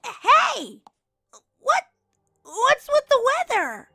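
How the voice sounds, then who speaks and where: A woman's voice: very high-pitched, wildly swooping pitch, very slow, vocal fry, bright, forceful tone, full character voice. A woman voicing a brash young female pony in a dramatized fan fiction reading. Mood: confused, alarmed.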